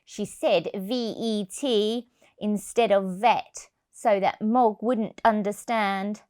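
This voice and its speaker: A woman reading a picture book to young children, expressive and deliberate, stressing key words. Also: mid-range voice. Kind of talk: storybook narration for young children.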